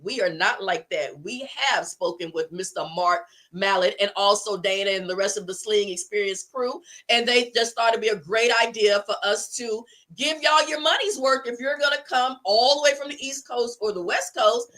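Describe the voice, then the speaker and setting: A woman with a hoarse, fading voice, chatting animatedly as a guest on a livestreamed podcast and promoting an event. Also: fairly high pitch, moderate pace, hardly any pauses. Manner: animated, boastful, heavy on y'all. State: hoarse, losing her voice. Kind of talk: casual livestream chat.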